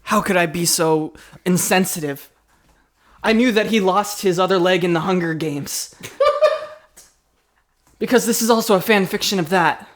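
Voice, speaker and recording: A podcast host improvises comedy in loose banter. The delivery is halting, with self-corrections along the way, at a middling pitch.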